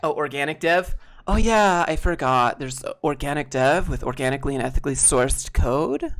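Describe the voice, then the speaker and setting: A soft-voiced man from the American South, chatting casually on a live stream, rambling with fillers and frequent laughs. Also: a little high, normal speed. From Southern United States. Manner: relaxed, rambling, laughs often, many fillers. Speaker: streamer and host.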